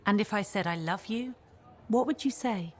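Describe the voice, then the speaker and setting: A woman's voice: medium pitch, moderate pace, darkish tone, close to an everyday voice. An actress playing a direct, devoted woman in a video game cutscene. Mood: earnest.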